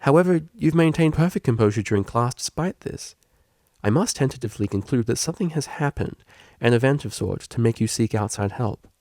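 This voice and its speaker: Man voicing a lively, kindly stallion tutor. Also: medium pitch, a little fast, no room sound, clean studio sound, lightly acted. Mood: calm, gentle. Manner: encouraging, informal, lively. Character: energetic kindly male pony tutor, understanding, playful. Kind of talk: fan fiction audiobook character reading.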